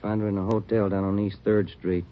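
Man playing businesslike american cop partner, lowish voice, muffled old radio. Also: level tone, fairly quick, natural voice. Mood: matter-of-fact. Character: matter-of-fact male detective, the sergeant's partner. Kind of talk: 1950s radio drama acting. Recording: dull old radio recording.

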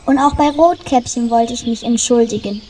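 A woman's voice: fairly high pitch, moderate pace, dark tone, slight background noise, natural voice, rough recording. A woman voicing a lonely sulky little dwarf. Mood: sheepish. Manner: grumbling, defensive, then sheepish. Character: lonely jealous dwarf, small, sulky and defensive. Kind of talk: children's radio play acting.

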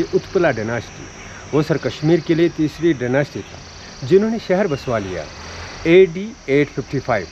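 A man's voice: fairly high pitch, a little mellow, lo-fi sound, a little hiss or hum. Male local guide reciting history. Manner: calls the listener "sir" and lists dates and dynasties. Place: outdoors at temple ruins.

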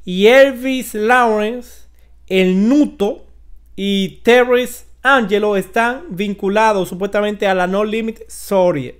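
A man in his forties with a Dominican accent gives informal news commentary as a host, halting and emphatic, with dramatic pauses. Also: sits high, smooth. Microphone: close desk microphone.

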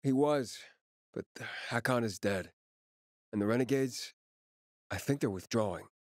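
A man's voice: mid-range voice, measured pace, dry recording, clean studio sound, close to an everyday voice. An actor playing a male soldier reporting to his commander in a video game. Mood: serious.

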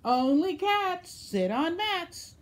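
A woman voicing a bossy, insistent know-it-all cat from a storybook, with emphatic, drawn-out delivery. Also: very high voice, sing-song, pitched above the performer's own voice, full character voice.

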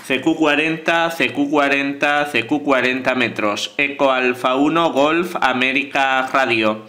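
A man works as a ham radio operator. His voice is bright and forward at a middle pitch, and he calls out phonetic call signs and contact details. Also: unhurried.

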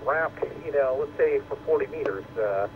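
A man's voice: mid-range voice, animated, moderate pace. A man talking casually over two-way radio as an amateur operator, giving practical technical advice. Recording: dull lo-fi radio audio, loud noise.

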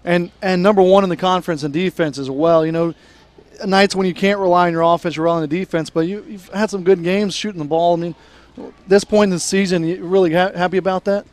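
Higher-voiced broadcaster asking long questions. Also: male voice, steady tone, quick pace, no echo. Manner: conversational, long framing questions. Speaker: sports broadcaster. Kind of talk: postgame interview questions. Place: small studio booth with a logo backdrop. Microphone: headset mic.

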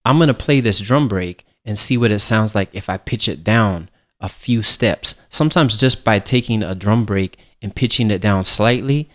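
Guy with a lowish voice doing a music software tutorial, casual explainer style, close mic. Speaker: music production instructor.

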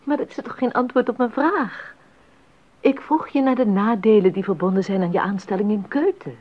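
A woman's voice: mid-range voice, moderate pace, lightly acted. A woman playing an affectionate, attentive wife in a 1980s radio play, with tender, formal diction. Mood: gently probing. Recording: dull 1980s radio recording.

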